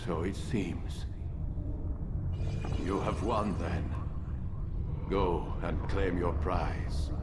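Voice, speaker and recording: An actor playing a scheming older master of assassins in a video game, speaking formally and oratorically with condescension. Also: male voice, low voice, fairly even intonation, drawn-out words, long pauses, heavy background noise. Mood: weary, solemn. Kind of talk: theatrical video game acting. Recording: dramatic music behind the voice.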